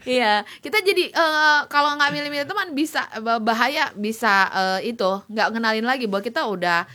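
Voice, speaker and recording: A woman from Solo, co-hosting, speaks in a chatty, playful way and trails into fillers while she thinks. Her voice is bright and forceful and sits a little high. Her Jakarta slang carries a light Sumatran tinge. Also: animated, normal speed. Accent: Jakarta slang with a slight Sumatran tinge. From Solo, Central Java. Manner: chatty, playful, lots of fillers and acted asides. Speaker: podcast co-host. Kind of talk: casual chat.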